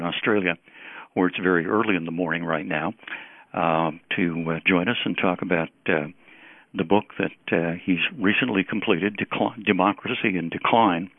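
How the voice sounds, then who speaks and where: A man moderating an interview, very halting as he feels his way toward each question. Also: sits low, fairly even intonation, no echo. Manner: very halting, slow to reach the point. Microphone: telephone. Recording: dry, narrow telephone line.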